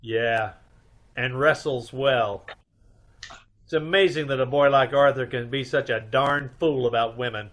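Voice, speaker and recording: A man's voice: mid-range voice, fairly even intonation, unhurried, a bit bright, close to an everyday voice. A man playing a wry, cool private detective with a dry, teasing manner, in an old-time radio mystery. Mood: amused, teasing.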